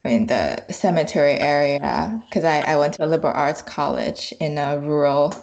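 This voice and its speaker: A woman chatting casually and haltingly, often searching for words. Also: low-pitched, darkish tone, highs cut off. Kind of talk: casual group chat. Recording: clearly noisy background.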